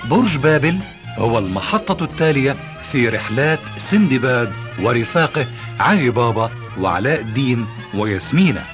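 Animated male cartoon episode narrator. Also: mid-range voice, normal speed. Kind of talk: dramatic next-episode announcement. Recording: music behind the voice.

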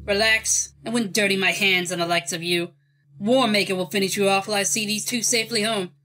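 A woman's voice: mid-range voice, steady tone, brisk, lightly acted. A woman voicing a stern, commanding comic-book superheroine with a cool, scolding manner. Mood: dismissive. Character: stern, commanding superheroine who rules her city. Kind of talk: comic-book dub voice acting. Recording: voice under loud music. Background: loud music.

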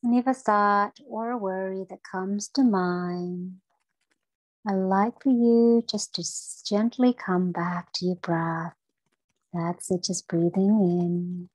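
Female meditation guide for kids, unhurried and gentle, encouraging instructions, very little room sound. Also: mid-range voice, dry recording, rough recording. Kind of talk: guided breathing meditation for children.

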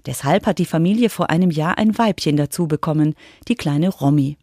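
A woman's voice: sits low, brisk, warm tone, no echo, studio quality. A woman reporter reading scripted narration for a children's radio feature.

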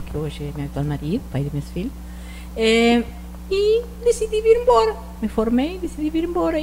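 A woman's voice: fairly high pitch, a little mellow, slight background noise, rough recording. A woman in her forties from northern Argentina with an Argentine Spanish accent, talking as a shop owner in a studio interview, mixing Spanish words into her speech and restarting sentences often. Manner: mixes Spanish words into Portuguese, hesitant restarts. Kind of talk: casual interview answers. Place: TV studio set styled as rustic ranch. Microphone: handheld mic.

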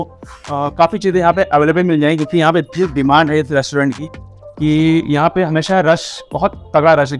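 A man in his thirties hosting a casual food vlog, talking chattily with fillers, recorded on a lapel mic. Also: a little high. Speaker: food vlogger host. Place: busy street at night, then restaurant indoors. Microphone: clip-on lapel mic.

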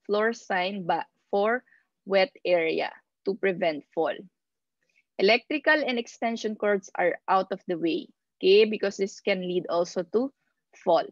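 A woman instructor giving a slide lecture over a video call, reading out points and explaining them haltingly, pausing mid-phrase. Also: medium pitch, no echo, muffled recording. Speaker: instructor of nursing assistant course.

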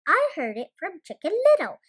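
A concerned, gullible hen from a children's tale, acted by a female reader. She speaks earnestly in a high voice with a very lively rise and fall. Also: moderate pace, full character voice. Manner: clucking, earnest. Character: concerned, gullible mother-hen storybook friend.

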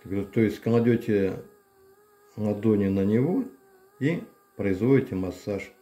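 An older man casually demonstrating a massager at home, explaining plainly with pauses and fillers. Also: on the low side, mellow. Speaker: channel host reviewing a massager. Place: home room, indoors. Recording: echoey room.

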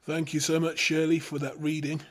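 Higher-voiced man teaching the Bible. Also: monotone, normal speed.

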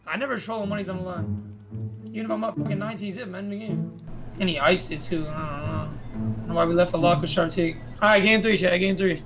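A man's voice: high voice, quick pace, low-quality recording. A man streaming live gameplay, talking to his teammates in profane, slangy speech. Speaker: gaming streamer. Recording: loud music under the voice. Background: loud music.

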